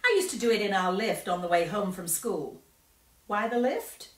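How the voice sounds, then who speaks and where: Woman doing a bratty show-off kid from a storybook, loud n pushy, mic is far off. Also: medium pitch, big pitch swings, moderate pace, natural voice. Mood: boastful, excited. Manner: loud, bragging, emphatic. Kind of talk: storybook character reading. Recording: roomy, distant microphone.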